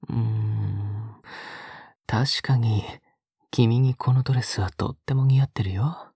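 A woman playing a soft-spoken, possessive young man in an intimate audio drama, coaxing and falsely sweet, with a breathy voice that often drops to a whisper. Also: extremely low pitch, fairly even intonation, slow pace, no room sound, clean studio sound, heavily acted.

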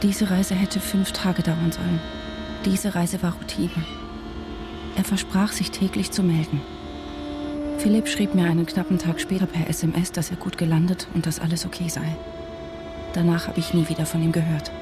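A woman's voice: on the low side, flat delivery, moderate pace, over music, natural voice. A woman playing a distraught, suspicious wife and mother in a German radio drama, narrating and speaking. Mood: tense, inward.

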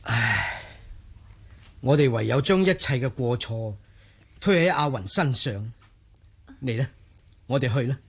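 An actor playing a remorseful gentleman of a wealthy household in a Cantonese radio drama, earnest and placating. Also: mid-range voice, animated, measured pace, pauses often, husky. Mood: resigned.

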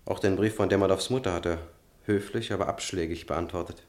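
Actor as low-voiced courteous young student. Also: male voice, darkish tone, close to an everyday voice. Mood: grave, hesitant. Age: young adult. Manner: courteous, measured, deliberate. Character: polite, calculating young student guarding the family. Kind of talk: German radio drama acting.

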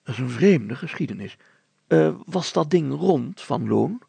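Pompous, nervy storybook mayor, played by a male narrator: fussy, hemming, stammering, formal, with a rough gravelly edge. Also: a little high, lively intonation, measured pace, warm tone, no echo, pitched above the performer's own voice, exaggerated voice. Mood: fussy, deliberating. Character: flustered, pompous mayor, adult man, nervously fussy. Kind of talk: children's story character voice.

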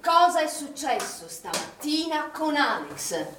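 A woman's voice: high-pitched, big pitch swings, slow, a bit bright, full character voice. An actress playing a stern, exacting female pool manager in a stage play, insistent and authoritative in her questioning. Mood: pressing, suspicious. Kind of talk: naturalistic stage play dialogue in Italian. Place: theatre stage, picked up from afar. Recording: echoey, distant, noisy theatre stage recording.

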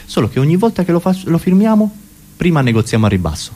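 Lively man performing comic monologue. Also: fairly high pitch, fast talker. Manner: conversational, ironic, philosophical musing with imagined dialogue. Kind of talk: comic cabaret monologue on a radio theatre show.

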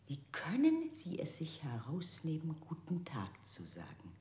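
A politely menacing interrogator. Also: female voice, low voice, huge pitch swings, measured pace, echoey room, exaggerated voice. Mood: cold, polite. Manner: politely menacing, swinging between soothing and sharp. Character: coaxing then accusing female interrogator. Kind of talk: radio play acting.

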